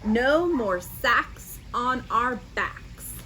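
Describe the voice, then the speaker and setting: A woman's voice: fairly high pitch, animated, extremely slow, pushed and bright, slight background noise, heavily acted, low-quality recording. A woman voicing a stubborn, huffy young donkey in a children's storybook, complaining in an exaggerated, indignant way. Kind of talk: children's storybook read-aloud.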